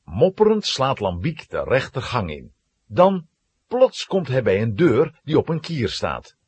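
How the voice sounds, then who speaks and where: Husky, very animated male storyteller. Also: medium pitch, a little slow, no room sound. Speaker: narrator. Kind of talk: dramatic storytelling, scripted narration for children.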